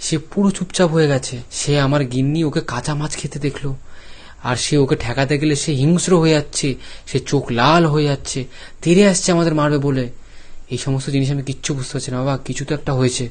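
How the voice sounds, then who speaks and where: A man's voice: a little high, fast, close to an everyday voice. A man voicing a worried village father in a cartoon story, agitated and pleading, repeating himself. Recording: clear music under the voice.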